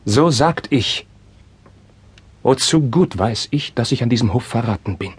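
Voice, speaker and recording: An actor playing a young, bitter and passionate Spanish crown prince in a classical stage drama, with declamatory, intense delivery. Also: male voice, mid-range voice, lively intonation, measured pace, husky, no echo. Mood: bitter defiance. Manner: declamatory, emotionally intense, formal verse delivery.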